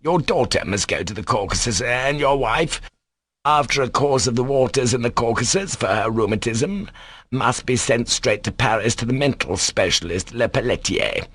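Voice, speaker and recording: A man's voice: medium pitch, expressive, moderate pace, fairly bright tone, breathy edge, dry recording, pitched above the performer's own voice. A man voicing a pompous, easily angered doctor in a storybook, haughty and prone to stammer and repeat himself. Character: pompous, easily enraged male doctor, sputtering with indignation.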